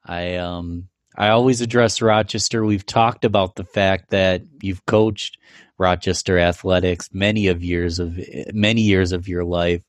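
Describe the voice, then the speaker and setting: A man's voice: sits low, fairly even intonation, unhurried, dry recording. A man hosting an interview, asking friendly, encouraging questions with a few stumbles. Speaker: podcast host.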